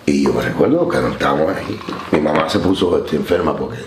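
A Cuban man in his forties with a Cuban Spanish accent, telling stories in a relaxed way and mixing in English slang. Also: extremely low pitch, quick pace, echoey room, background noise. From Cuba. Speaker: interview guest. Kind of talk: storytelling interview. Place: studio set, seated on couch.

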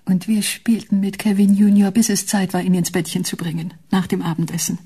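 A woman playing a distressed young mother in a radio play, with a husky voice. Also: mid-range voice, flat delivery, a little fast, dark tone, close to an everyday voice. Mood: anxious.

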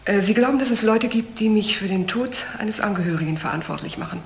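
A woman's voice: medium pitch, fast, creaky, natural voice. Casting: actress as blunt female doctor, self-assured and cool, crime radio play, roomy sound with faint noise. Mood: cool, challenging. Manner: cool, matter-of-fact, challenging. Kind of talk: German crime radio drama acting.

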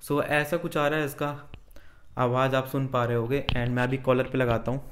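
A man's voice: mid-range voice, flat delivery, normal speed, noisy background. A man giving an informal product review and tutorial, talking to viewers like friends. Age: 20s. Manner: informal, addresses viewers as guys and bhai. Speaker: vlogger. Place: bedroom at home.

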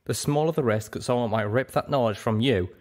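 A man's voice: medium pitch, brisk, a little mellow, pitched above the performer's own voice, close to an everyday voice. Man voicing a grave, guarded old dragon. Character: huge, old, lonely, guarded male dragon. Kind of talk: audiobook character voice.

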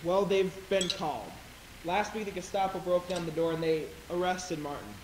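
A young man plays a worried townsman in a stage drama. His voice is high. It echoes in the theater with a faint noise. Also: normal speed, natural voice. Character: worried townsman bringing news to nuns. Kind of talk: amateur stage acting in a high school play. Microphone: distant camera. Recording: echoey stage, hissy distant camera.

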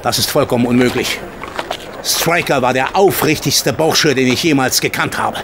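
An actor playing a proud, easily offended gentleman colonel in a German radio play, talking stiffly and formally. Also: mid-range voice, normal speed, background noise, close to an everyday voice. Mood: indignant. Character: proud, indignant gentleman colonel, horse owner. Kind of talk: German audio drama acting.

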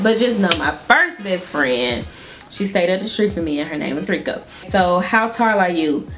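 A young Southern woman talks casually to her viewers in a lowish, relaxed voice while she does her makeup. She is chatty and laughs often, and soft music plays behind her. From Georgia, US. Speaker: beauty vlogger. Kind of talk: casual chit-chat while doing makeup. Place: small indoor room at home.

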